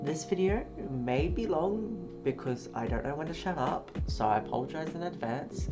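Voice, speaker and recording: A YouTuber talking in a casual opinion vlog, a little sick and sniffly, energetic and swearing freely. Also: mid-range voice, moderate pace. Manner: energetic, swearing, with frequent cheers and laughs. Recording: dull lo-fi audio with guitar music behind.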